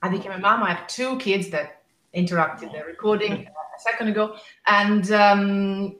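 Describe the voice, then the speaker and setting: A woman's voice: medium pitch, fairly even intonation, normal speed, a bit bright, slight background noise. A woman in her thirties, a speech therapist who stammers, talking openly and emotionally on a podcast. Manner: open, emotional, stammers at times. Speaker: guest, speech and language therapist. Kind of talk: podcast conversation. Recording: echoey room.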